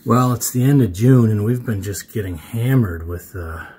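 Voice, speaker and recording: A man's voice: fairly low pitch, normal speed. An American man giving casual, folksy vlog commentary in a conversational way, recorded outdoors on a farm. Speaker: farm vlogger. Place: outdoors on a farm, partly in a tractor. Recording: clear outdoor vehicle and ambient noise.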